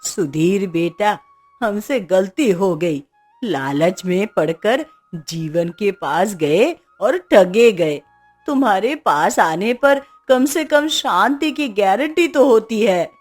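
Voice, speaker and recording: A plaintive market auntie acted by a woman in a cartoon fable. She speaks slowly with big swings in pitch, and music plays behind her. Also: animated. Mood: regretful. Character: middle-aged auntie, a trusting female market customer. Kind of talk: Hindi moral-story cartoon acting.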